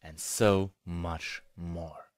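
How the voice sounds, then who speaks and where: A man's voice: sits low, little pitch movement, extremely slow, heavy background noise. A man narrating a scripted review in English as the host. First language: German.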